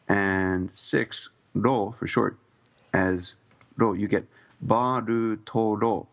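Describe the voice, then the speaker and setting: Gravelly low-voiced man on a podcast. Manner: hedging, thinks aloud with many ums. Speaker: podcast co-host. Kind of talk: casual chat.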